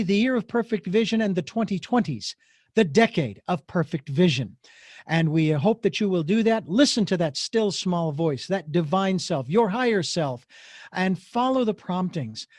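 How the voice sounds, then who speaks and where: A man's voice: sits high, a little mellow, no echo. A middle-aged man hosting a radio interview show, speaking earnestly with a meandering flow and many fillers. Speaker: radio talk show host.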